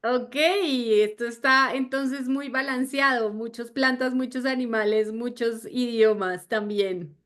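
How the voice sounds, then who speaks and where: Bright-voiced woman leading a video call. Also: on the high side, steady tone, slow pace, hardly any pauses. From Argentina. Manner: friendly, chatty presenter with fillers. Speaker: sales trainer. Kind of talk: online training session.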